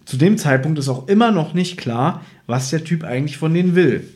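A man's voice: fairly high pitch, animated, brisk, darkish tone. A man chatting casually as a podcast host, retelling and critiquing an audio drama in an informal, opinionated, teasing way.

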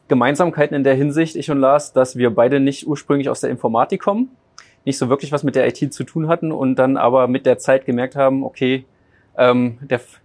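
A man's voice: mid-range voice, a little mellow. A man from Berlin in his thirties chats about his path as a guest. He talks loosely with frequent fillers and restarts, in an ordinary mid-range voice. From Berlin, Germany. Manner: rambling, many fillers and restarts. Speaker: data scientist guest. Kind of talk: casual interview chat.

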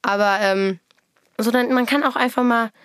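A teenage girl with a cold-roughened, hoarse voice, hosting a casual chat and telling rambling, giggly stories. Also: a little high, steady tone, moderate pace, a bit bright, no room sound, studio quality. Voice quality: scratchy voice. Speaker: podcast host.

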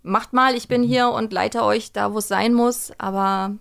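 A woman's voice: fairly high pitch, fairly even intonation, normal speed, creaky, fairly bright tone. A woman chatting casually and in colloquial detail as a director and interview guest. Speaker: radio play director and voice actress, interview guest. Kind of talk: casual studio interview chat.

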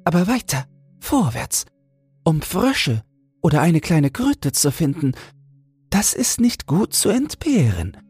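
A man's voice: on the high side, wildly swooping pitch, takes it slowly, darkish tone, breathy edge, dry recording, faint music behind, voice pushed up, exaggerated voice. A man voicing a small, naive female toad full of yearning and wonder in a fairy tale, earnest and exclaiming. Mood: eager wonder. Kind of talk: fairy tale audiobook character voice.

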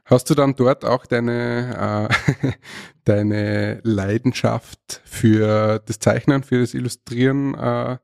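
A man's voice: medium pitch, steady tone, slow pace, vocal fry, a little mellow, clear, resonant tone, dry recording, studio quality. A man hosting a podcast interview, asking questions in a friendly, hesitant way. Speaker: podcast host. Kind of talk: casual interview chat.

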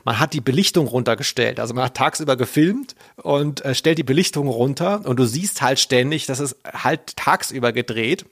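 A man's voice: medium pitch, moderate pace, few pauses, fairly bright tone, no echo, clean studio sound. A man with speech hosting a podcast, chatting casually in a rambling, self-interrupting way. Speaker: podcast host. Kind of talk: casual podcast chat about children's audio dramas.

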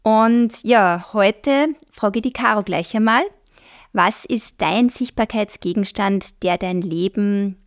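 A slightly hoarse woman co-hosting a podcast in a studio, speaking in a measured, friendly way. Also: medium pitch. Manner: measured, friendly, uses fillers. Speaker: podcast co-host. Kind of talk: casual podcast chat. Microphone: podcast microphone.

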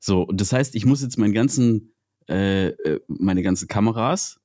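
Podcast co-host with a cold, low and warm, close dry mic. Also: male voice, normal speed, dry recording. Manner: easygoing, teasing. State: has a cold. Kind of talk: casual chat.

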